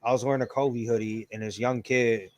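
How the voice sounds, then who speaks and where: A man's voice: medium pitch, fairly even intonation, fairly quick, darkish tone, no room sound. A man in his late thirties co-hosting a casual sports chat, laid-back and profane, full of slang. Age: 30s. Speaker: podcast co-host.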